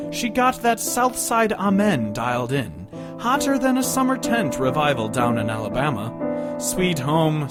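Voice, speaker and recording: A man putting on a mock-dramatic radio voice, reading verse in a slow, exaggerated, theatrical way. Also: on the high side. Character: mock-dramatic radio-voiced reader declaiming silly country lyrics.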